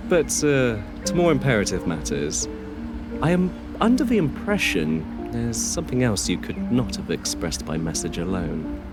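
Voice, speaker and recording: A man's voice: fairly low pitch, big pitch swings, moderate pace, over loud music. A man voicing a weary, sardonic god of time. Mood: wry. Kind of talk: ASMR audio drama acting.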